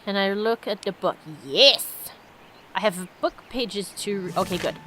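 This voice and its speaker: A woman's voice: medium pitch, unhurried, a bit bright, a little hiss or hum. A woman giving casual game commentary, with wry asides between bits of dialogue she reads aloud. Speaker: let's play host.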